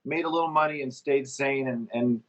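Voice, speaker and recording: A man's voice: medium pitch, fairly quick, fairly bright tone, low-quality recording. A middle-aged man talking casually as a musician guest, telling his story in a conversational way.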